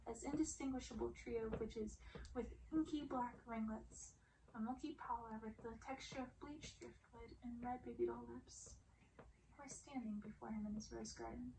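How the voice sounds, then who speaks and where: A woman reading a story as ASMR in a hushed, deliberate way, often slipping into a soft whisper. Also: fairly high pitch, background noise. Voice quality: soft, breathy whisper at times. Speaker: ASMR reader.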